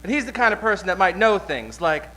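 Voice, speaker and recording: A gossipy male bartender in an old-time radio comedy. His voice is a little high, coy and full of insinuation, as if sharing secrets. There is faint noise behind him. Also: expressive, fast talker, bright, forceful tone. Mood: confiding, suggestive. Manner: coy, confiding.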